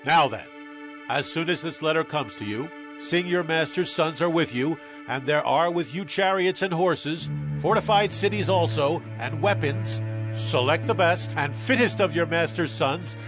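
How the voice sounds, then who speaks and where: A ruthless, commanding warrior king played by a man in a Bible audio drama. His voice sits slightly high for a man and he declaims forcefully, as if every line is an order. Music plays clearly behind him. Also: moderate pace, close to an everyday voice. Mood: stern, challenging. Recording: background music under the voice.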